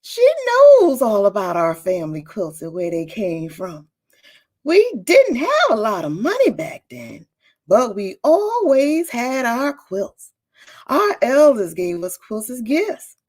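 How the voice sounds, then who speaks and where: A woman voicing a kind, proud old grandmother from a storybook, with a gentle, affectionate manner. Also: fairly high pitch, wildly swooping pitch, takes it slowly, heavily acted. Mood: fond, reminiscing.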